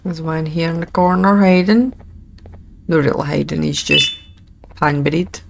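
A woman's voice: sits low, a little slow, gravelly, noisy background. A Scottish woman in her thirties giving chatty, wry, informal commentary as she plays a video game. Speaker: gaming video host. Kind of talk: casual let's play commentary.

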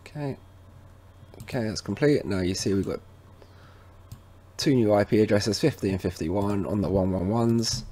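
A man presenting an informal, instructional software walkthrough, talking casually to viewers as he goes. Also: lowish voice, normal speed, long pauses, slight background noise. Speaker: tech tutorial presenter. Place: indoors at a computer, voice over screen recording.

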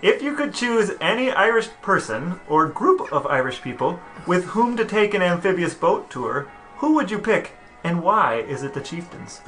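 Slightly high-voiced man, dry podcast banter. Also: sing-song, moderate pace, hardly any pauses, a bit bright, faint music behind, rough recording. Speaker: podcast co-host. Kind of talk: casual podcast banter and questions.

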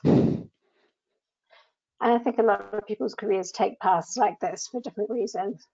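A woman's voice: mid-range voice, moderate pace, gravelly, mellow. A woman with a New Zealand accent, a grants manager giving a conversational webinar talk over a video call. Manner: conversational, with frequent ums. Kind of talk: presenting slides in a webinar. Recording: slightly dull video call audio.

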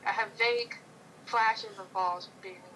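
Bright, giggly woman on a video call. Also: medium pitch, fairly even intonation, unhurried, a little hiss or hum, low-quality recording. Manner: bubbly, giggly, playful. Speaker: guest, improv performer. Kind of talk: casual chat over video call.

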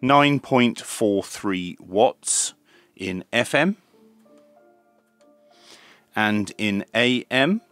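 A man in his fifties presenting a product review in a measured, methodical way, step by step. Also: fairly low pitch, a bit bright, no room sound, faint music behind. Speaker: presenter of radio equipment reviews. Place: small indoor home radio workshop.

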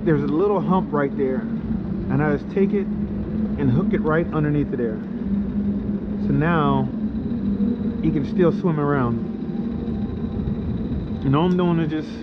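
Slow-talking man fishing from a kayak. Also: a little high. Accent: Florida. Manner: slow, explanatory, excited when fish bite. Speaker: kayak fishing video host. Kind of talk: casual on-the-water commentary. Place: kayak on water under a pier, outdoors. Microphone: action camera mounted on kayak. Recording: muffled action-cam audio with water noise.

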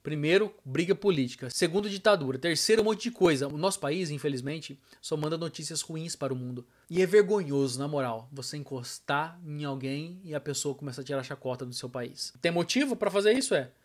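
A man's voice: a little high, lively intonation, brisk, few pauses. A middle-aged Brazilian man giving his opinion casually as a vlogger, emphatic and colloquial, speaking straight to his viewers from a room at home. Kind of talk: casual opinion vlog to camera. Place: small home room with arcade machine, indoors.

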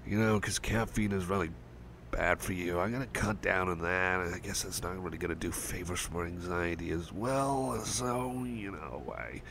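A man voicing a gentle, caring male cyborg friend in an ASMR roleplay, talking softly and hesitantly with many fillers, sometimes dropping to a whisper. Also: low-pitched, normal speed, background noise. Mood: soothing. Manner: soft, hesitant and rambling, with many fillers and restarts; drops to soft whispers at times. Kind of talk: ASMR roleplay, personal attention.

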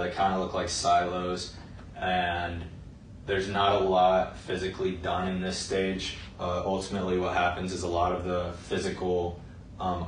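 Young male student, very deep and monotone, slow with ums, echoey and distant. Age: young adult. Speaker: student presenter. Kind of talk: class project narration. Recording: roomy, distant mic, faint noise.

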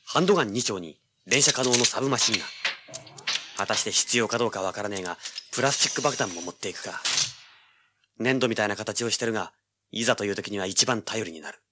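An actor playing a cocky, tough young treasure hunter, rough-spoken and sardonic, narrating and speaking in a hard-boiled audio drama. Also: male voice, lowish voice, quick pace, heavy background noise, lightly acted. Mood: tense, cool. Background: dramatic music.

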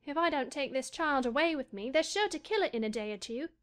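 A woman's voice: high voice, animated, quick pace. A woman playing a curious, polite young girl in a dramatized storybook audiobook, full of questions.

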